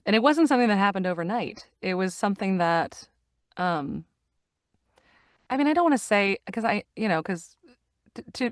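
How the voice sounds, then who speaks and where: A woman's voice: medium pitch, no room sound, clean studio sound. A middle-aged woman, a voice actor and narrator, talking earnestly in a casual interview, often restarting and repeating phrases as she searches for words. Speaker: guest, voice actor and audiobook narrator. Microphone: studio microphone.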